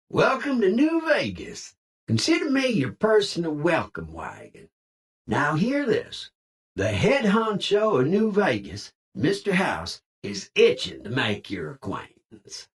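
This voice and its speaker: An actor voicing a cheerful cowboy robot greeter in a video game, with a folksy American cowboy drawl and hearty Old West slang. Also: male voice, high-pitched, far from the mic, full character voice. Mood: hearty, welcoming. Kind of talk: video game character lines.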